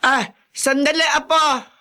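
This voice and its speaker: A woman's voice: high-pitched, steady tone, takes it slowly, pushed and bright, full character voice. An actress playing an elderly, tender and grateful Filipino grandmother in a radio drama. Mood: cheerful.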